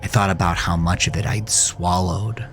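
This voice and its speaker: An actor playing a haunted, dazed teenage boy, narrating a horror audio drama as a brooding, halting confession. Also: sits low, fairly even intonation, music in the background. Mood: eerie, brooding.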